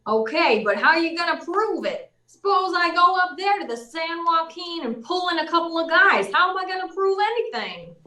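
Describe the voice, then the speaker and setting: A woman plays a folksy, skeptical small-town police chief in an old-time radio play. The voice is very high and swings freely, with plain rustic phrasing. It sounds roomy and echoing. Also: animated, normal speed, hardly any pauses, sharp tone, distant mic, full character voice. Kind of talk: 1940s radio drama acting. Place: live online performance in a roomy space. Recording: roomy echo, off the mic.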